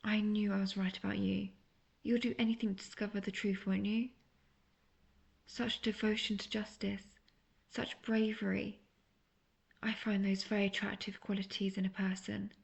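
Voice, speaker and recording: Female actor as scheming captive witch for fantasy audio drama: seductive, intimate, husky and breathy, with heavy vocal fry. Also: medium pitch, darkish tone. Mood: flattering, coaxing. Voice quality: breathy, often near-whispered. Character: manipulative, seductive imprisoned witch feigning innocent helplessness.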